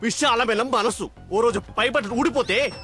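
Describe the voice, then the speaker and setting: An actor playing a brash young bluffer in a comedy film, blustering pompously and dropping in English phrases. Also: male voice, very high voice, moderate pace, a bit bright, soft background music. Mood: flustered bluster. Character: brash, excitable young schemer bluffing his way as a suitor. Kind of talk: broad comedy film acting.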